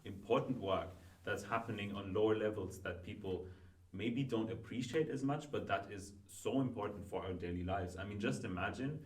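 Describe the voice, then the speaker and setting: A student guest talking casually on a podcast, hesitant and full of restarts. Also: male voice, fairly low pitch, moderate pace, darkish tone. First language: not Dutch. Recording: roomy, from far off.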